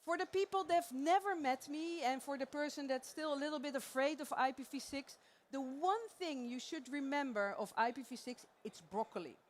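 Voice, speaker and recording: A woman's voice: high voice, moderate pace, hardly any pauses, slight background noise. A woman from the Netherlands with a Dutch accent, giving a playful, chatty live conference talk on stage and laughing often. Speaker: conference presenter.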